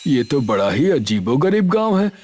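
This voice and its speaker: A man's voice: medium pitch, sing-song, normal speed, darkish tone. A man voicing a poor, earnest young vegetable seller in a cartoon dub, talking with wonder and respect. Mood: amazed.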